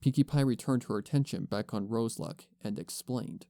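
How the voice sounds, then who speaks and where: A man's voice: medium pitch, moderate pace, mellow. A man giving scripted storybook narration as the narrator of a fan fiction audio reading. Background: music.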